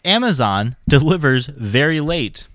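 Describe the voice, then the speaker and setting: A man's voice: a little high, very animated, unhurried, a bit bright, dry recording, clean studio sound. A young man co-hosting a podcast, telling stories in a laid-back, self-deprecating way and laughing often. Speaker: podcast co-host. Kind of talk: casual chat.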